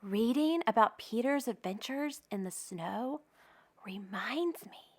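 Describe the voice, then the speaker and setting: A woman reading aloud to young children as a storytime host, expressive, stretching out key words and putting questions to her listeners. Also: on the high side, takes it slowly.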